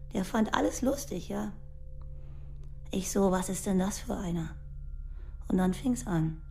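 Radio play, young far-right woman, fond yet blunt, soft dark tone, colloquial. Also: mid-range voice, vocal fry, slight background noise. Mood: fond, matter-of-fact. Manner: plain colloquial slangy telling in clipped sentences. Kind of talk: documentary radio play monologue.

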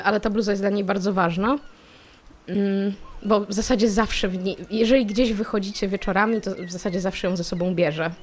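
A woman player in a tabletop game describing her character, thinking aloud with small pauses. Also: medium pitch. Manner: thoughtful, with hesitant fillers.

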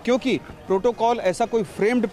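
A man in his forties, a field reporter speaking into a handheld mic outdoors, explaining a court ruling in a measured way. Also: very high-pitched, steady tone, darkish tone. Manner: measured explanatory, glossing terms. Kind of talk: live reporting from outside a court. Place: outdoors by a street. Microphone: handheld reporter microphone.

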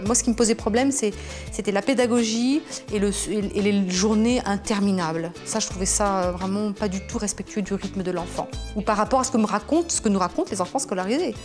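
A woman's voice: medium pitch, fast, over music. A middle-aged woman, a homeschooling mother, speaking in a casual interview at home, loose and hesitant with many restarts. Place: home interior, living room.

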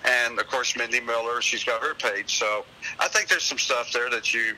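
An older man with a very bright, forceful voice talks as a radio call-in guest. He rambles in a folksy, polite way through casual anecdotes, at an average pitch, over a hissy line. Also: moderate pace, low-quality recording. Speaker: phone-in guest, singer and website owner.

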